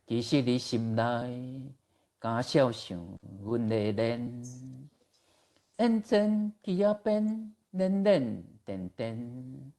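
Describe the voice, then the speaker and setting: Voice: mid-range voice, expressive, very slow, mellow. 慢慢念詩，聲音柔暗. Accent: Taiwanese. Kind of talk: slow poetry recitation.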